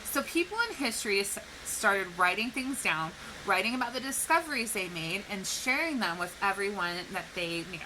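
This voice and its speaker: A woman speaking like a teacher to young children, explaining things clearly and posing questions in an educational read-aloud. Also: medium pitch, expressive, moderate pace, sharp tone, a little hiss or hum, rough recording. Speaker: teacher reading a picture book to children.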